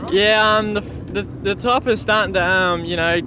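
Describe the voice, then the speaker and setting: A man's voice: sits high, steady tone, moderate pace. A young man, a race driver, chatting casually in a trackside interview outdoors. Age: young adult. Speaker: sprint car driver being interviewed. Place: outdoor racetrack at night, seated in car. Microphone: handheld microphone held by interviewer. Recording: clear engine noise, outdoor trackside.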